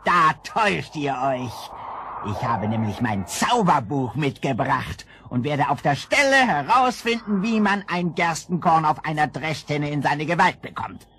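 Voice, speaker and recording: An actor playing an old evil sorcerer in a children's radio play, blustering and vengeful, with theatrical exclamations and gloating. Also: male voice, a little high, expressive, bright, forceful tone, full character voice. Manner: theatrical, exclaiming, drawn-out cackling. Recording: noisy vintage children's record.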